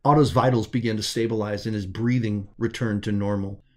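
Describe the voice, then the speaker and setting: A man's voice: on the low side, fairly even intonation, fairly quick, darkish tone. A man reading science fiction as scripted audiobook narration, telling the story as its author.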